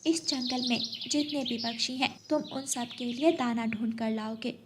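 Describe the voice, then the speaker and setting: A woman's voice: fairly high pitch, steady tone, normal speed, noisy background, natural voice. A woman voicing a brave, good-hearted little female bird in a children's cartoon, speaking emphatically. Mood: assured, commanding. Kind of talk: Hindi children's cartoon dialogue.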